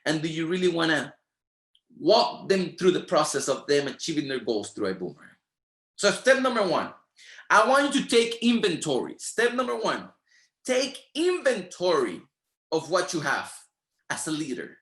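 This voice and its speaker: A man's voice: fairly high pitch, sing-song, moderate pace, fairly bright tone, echoey room. A man whose first language is Spanish, speaking with a Spanish accent and giving a motivational training talk in an emphatic, repetitive, preacher-like rhythm. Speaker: network marketing trainer.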